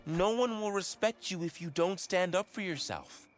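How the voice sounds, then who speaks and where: A woman's voice: lowish voice, moderate pace, lightly acted. A woman voicing a naive, earnest, kind-hearted kid hero in a comedic video game. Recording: music behind the voice.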